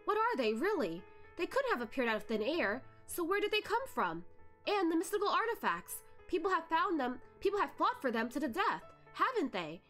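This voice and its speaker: A woman voicing a sharp-witted, teasing young male investigator who questions pointedly. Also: very high-pitched, big pitch swings, normal speed, soft background music, pitched above the performer's own voice, exaggerated voice. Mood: pressing.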